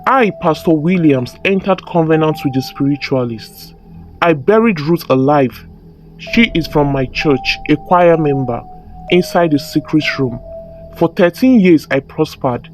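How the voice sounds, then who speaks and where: A woman's voice: low-pitched, expressive, measured pace, faint music behind, voice pushed down, rough recording. A woman voicing a troubled, scheming male pastor with a solemn, earnest manner in a folktale. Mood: grave confession. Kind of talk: dramatised folktale storytelling.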